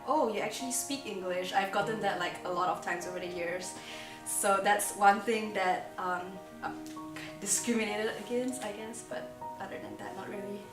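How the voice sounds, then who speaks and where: A woman from Malaysia, a student who answers interview questions casually and says "like" a lot. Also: medium pitch. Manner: casual, uses "like" often. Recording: echoey room, distant mic, music bed.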